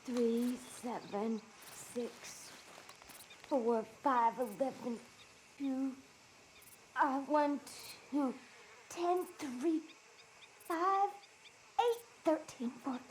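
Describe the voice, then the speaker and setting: A woman's voice: high voice, extremely slow, pauses often, slight background noise. An actress playing a deranged woman in a video game, erratic and rambling in her speech. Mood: manic rambling. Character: deranged, erratic woman ranting and counting nonsense.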